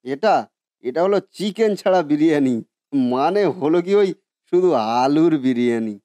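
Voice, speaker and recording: A man's voice: fairly high pitch, animated, normal speed, dark tone, no echo, lightly acted. A man voicing a genial, folksy village cook in a cartoon story, eager, reassuring and respectful. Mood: humble, proud. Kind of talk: Bengali cartoon story voice acting.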